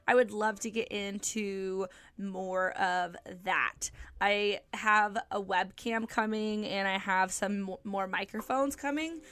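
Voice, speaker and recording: A woman's voice: mid-range voice, pushed and bright. A young woman hosting her own podcast, talking casually and upbeat, with frequent ums and likes. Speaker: podcast host. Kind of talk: casual solo podcast chat.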